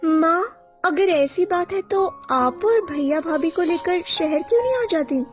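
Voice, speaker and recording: A woman's voice: very high-pitched, lively intonation, unhurried, soft background music. A woman voicing an eager young married sister-in-law from the city in a cartoon moral story. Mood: sincere, affectionate.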